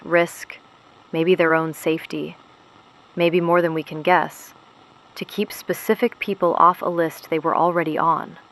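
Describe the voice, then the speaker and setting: Woman playing resistance radio host, slightly low female voice, solemn, measured, reflective, dramatic scripted narration. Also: fairly bright tone, rough recording.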